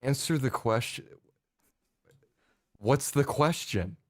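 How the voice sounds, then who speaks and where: A man's voice: mid-range voice, animated, takes it slowly, dry recording, clean studio sound. A man co-hosting a stream, firing off incredulous questions and swearing often. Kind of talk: casual livestream chat.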